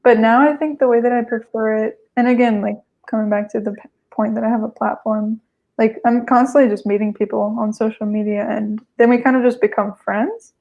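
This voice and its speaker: A woman's voice: a little high, steady tone, a little fast, muffled recording. A young American woman on a video call, chatting casually with lots of fillers and restarts. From United States. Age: young adult. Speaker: guest, language learner. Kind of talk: casual conversation.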